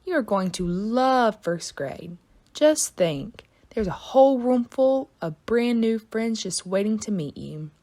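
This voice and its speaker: A woman voicing a kindly, reassuring father in a children's storybook, gentle and encouraging. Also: fairly high pitch, expressive, slow. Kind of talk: children's storybook read-aloud.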